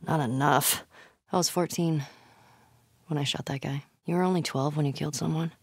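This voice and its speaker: A woman's voice: sits low, steady tone, normal speed, background noise. A woman with an American accent acting a tough, foul-mouthed, sardonic young survivor in a video game, in a naturalistic style. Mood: quiet, reflective. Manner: sweary, muttering, sardonic.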